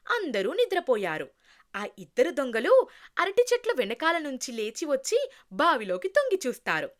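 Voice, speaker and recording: A woman narrating a scripted children's moral tale in an expressive storytelling manner. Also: sits high.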